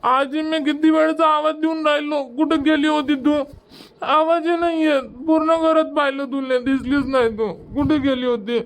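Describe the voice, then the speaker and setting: Man doing a high, whining little village boy. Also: little pitch movement, normal speed. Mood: insistent. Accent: Varhadi Marathi. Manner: whining, insistent, repetitive. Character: pestering little village boy begging for money. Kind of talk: cartoon comedy dubbing.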